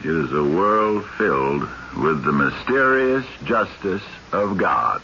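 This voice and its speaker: An actor playing a solemn, commanding sleuth in a radio drama, speaking with deliberate, preacher-like certainty. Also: male voice, low voice, very animated, exaggerated voice. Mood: intense, solemn. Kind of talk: old-time radio drama acting. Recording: muffled radio recording with faint hiss.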